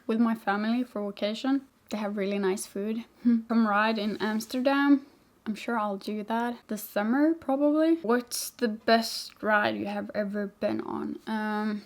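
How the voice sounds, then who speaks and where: A young woman with a mixed Swedish-English accent chats through viewer questions. She rambles and fills her talk with "like". Her voice sits at an ordinary middle pitch. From Sweden. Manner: chatty, rambling, many "like" fillers, laughs easily. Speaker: vlogger, motorcycle rider. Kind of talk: casual Q&A chat.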